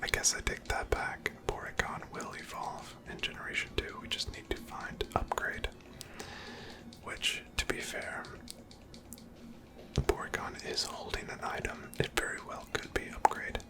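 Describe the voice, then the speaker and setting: A person whispering softly and breathily, giving gentle, casual ASMR commentary while playing a video game. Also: measured pace. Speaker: host of a gameplay series.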